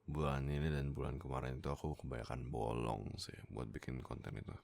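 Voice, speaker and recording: A young man with a very low, gravelly voice full of fry. He chats informally with his viewers as an online voice creator, jokey and self-deprecating. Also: level tone, a little fast, a little mellow. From Indonesia. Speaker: YouTube roleplay voice creator. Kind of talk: casual chat to viewers.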